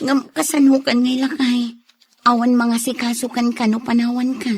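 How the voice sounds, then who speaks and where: A woman's voice: fairly high pitch, little pitch movement, normal speed, slight background noise, close to an everyday voice. A woman playing a caring, practical wife in an audio drama, speaking with an Ilocano accent. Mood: concerned. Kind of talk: dramatized radio-style story acting.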